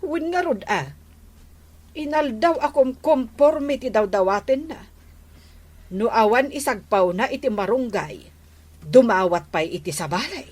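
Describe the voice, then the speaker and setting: An actress with an Ilocano accent playing a chatty, disapproving village wife in a radio comedy drama. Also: female voice, fairly high pitch, expressive, unhurried, pauses often, a little mellow. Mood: gossipy.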